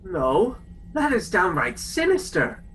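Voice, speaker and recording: A man playing a stubborn, pompous defender of old fairy tales in a radio play, indignant and raspy. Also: high-pitched, huge pitch swings, slow pace, fairly bright tone, exaggerated voice. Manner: pompous, exasperated.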